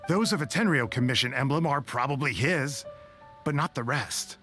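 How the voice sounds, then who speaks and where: A man's voice: mid-range voice, moderate pace, pushed and bright, faint music behind, natural voice. An actor playing an earnest soldier reporting to his leader in a game, respectful and a little hesitant. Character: male soldier, earnest and suspicious.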